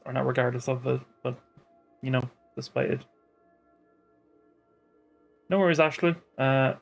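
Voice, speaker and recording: A man chatting casually as he streams a game, in a low-key, hesitant and considerate way. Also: creaky. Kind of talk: casual live game playthrough chat.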